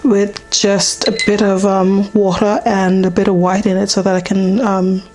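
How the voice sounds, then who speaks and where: A woman whose voice is hoarse and partly gone, in a mid-range pitch. She guides a beginner through each step of a painting in an easy, unhurried way, with frequent ums as she works. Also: level tone, darkish tone. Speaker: painting teacher. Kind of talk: casual step-by-step painting demonstration.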